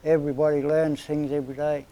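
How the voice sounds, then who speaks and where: A man in his seventies from northern New South Wales with an Australian accent, telling stories of his life in a relaxed, plain-spoken way during an interview. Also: a little high, quick pace. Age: 70s. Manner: plain-spoken, rambling storyteller with everyday slang. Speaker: interviewee, community elder. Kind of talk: storytelling in casual conversation.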